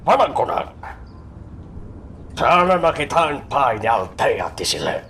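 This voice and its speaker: A man with an Ilocano Filipino accent acting a guarded, fatherly crime boss in a radio drama, with halting, drawn-out delivery. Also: mid-range voice, sing-song, a bit bright, a little hiss or hum. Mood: commanding.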